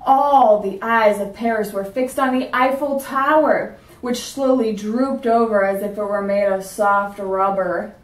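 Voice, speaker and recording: A woman teacher reading a picture book aloud in a clear, measured storytelling manner. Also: mid-range voice, fairly even intonation. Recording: roomy sound from a distance.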